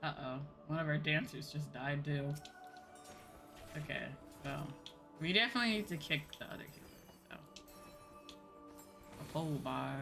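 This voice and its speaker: Female game streamer, low-voiced, informal and rambling, clear music underneath. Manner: rambling, informal, thinks aloud. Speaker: livestreamer playing an online game. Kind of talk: casual livestream chat with game commentary. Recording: music behind the voice.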